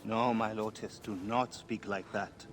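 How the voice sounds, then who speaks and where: An actor playing a despairing high priest in a video game, hesitant and sorrowful, with a pleading way of speaking. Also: male voice, fairly even intonation, unhurried, close to an everyday voice. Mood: tender worry. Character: despairing, troubled male high priest, worried husband. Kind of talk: dramatic video game acting. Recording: clear ambient noise.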